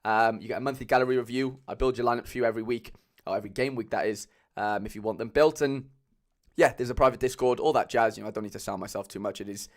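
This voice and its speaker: A young man with a Cardiff accent chatting casually as a livestream host, loose and rambling with lots of fillers, speaking into a mic on a boom arm at home. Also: fairly even intonation, fast talker. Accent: Cardiff Welsh. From Wales. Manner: chatty, loose, many fillers, calls viewers lads.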